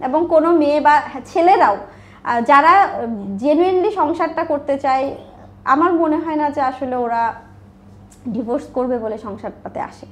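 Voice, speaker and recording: Bangladeshi woman, pretty high voice, chatty n emphatic interview guest, drops in english words. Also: moderate pace, pauses often. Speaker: interview guest, online business owner. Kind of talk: candid conversational interview.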